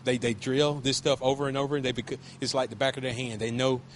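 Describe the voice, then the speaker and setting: A man, a wrestling coach, talking casually in an interview with a loud voice, emphatic and repetitive, with many restarts. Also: medium pitch, flat delivery, quick pace, slight background noise.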